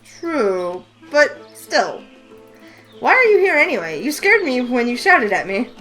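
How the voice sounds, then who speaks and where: A woman's voice: sits high, very animated, unhurried, a bit bright, full character voice, rough recording. A woman voicing an eager, curious young heroine in a game read-through, with faint music behind. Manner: eager, wide-eyed questions. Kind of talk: voice acting a visual novel.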